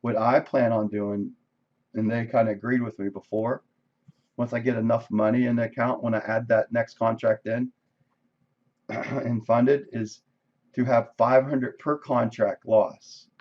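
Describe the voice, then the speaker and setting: A man reviewing his day's trades on a live stream, casual and thinking aloud, with a voice that is going hoarse. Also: medium pitch, little pitch movement, a little slow, muffled recording. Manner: conversational, thinking aloud, frequent "right?". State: losing his voice, coughing. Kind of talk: casual screen-share trade recap. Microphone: desk microphone.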